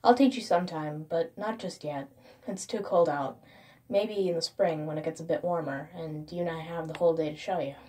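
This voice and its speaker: A teenage older brother, voiced by a woman in a narrated horror tale. He sounds irritated and protective, scolding in casual slang at a fairly low pitch. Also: fairly quick, darkish tone, slightly rough. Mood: reluctant. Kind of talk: horror story narration with acted dialogue.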